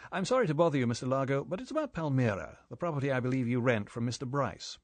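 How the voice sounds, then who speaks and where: A confident English agent , voiced by a male reader, talks briskly and matter-of-factly in a mid-pitched voice. The sound is dry and close, as on an audiobook. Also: lively intonation, dry recording.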